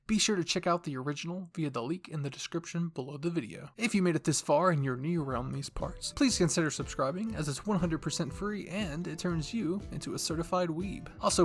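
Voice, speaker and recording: A male channel host speaks straight to his viewers. His delivery is upbeat, playful and scripted, with the pitch lifted a little. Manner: playful, addressing viewers directly.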